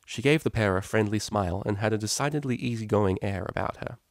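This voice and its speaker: A man narrates a written story as an audiobook reader. His voice sits on the low side, and the recording is dry with little room sound. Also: fast, no room sound.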